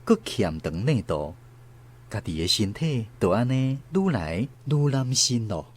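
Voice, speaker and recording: A man's voice: on the low side, very animated, measured pace, husky, full character voice. A man playing a son who looks back on his poor childhood, narrating a radio drama with a Taiwanese accent. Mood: nostalgic. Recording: music under the voice.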